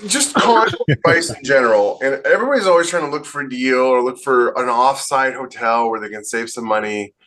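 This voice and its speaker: A man's voice: medium pitch, lively intonation, fairly quick, few pauses, a bit bright, low-quality recording. A man co-hosting a podcast, chatting casually and giving advice in an opinionated, emphatic way. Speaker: podcast co-host.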